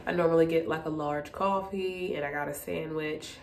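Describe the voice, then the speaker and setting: A woman's voice: sits low, steady tone, normal speed, a little hiss or hum. A woman in her late twenties chatting casually to her phone camera in a hotel room, rambling with lots of fillers and sounding stuffy and congested. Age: late 20s. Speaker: vlogger. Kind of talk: casual vlog chat to camera.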